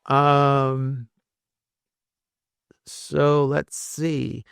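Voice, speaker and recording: A man's voice: mid-range voice, little pitch movement, very slow, vocal fry, fairly bright tone, clear, resonant tone, no echo, clean studio sound. A man in his sixties hosting a casual podcast interview, friendly and rambling, with plenty of fillers and restarts. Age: 60s. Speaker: podcast host.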